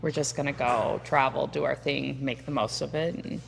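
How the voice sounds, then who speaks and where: A woman's voice: rumbling low, fairly even intonation, normal speed, vocal fry, long reverb tail, a little hiss or hum. A woman from Montana, a mother of four, talking casually in an interview, chatty and prone to restarting her sentences. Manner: chatty, trails off and restarts, talks over partner.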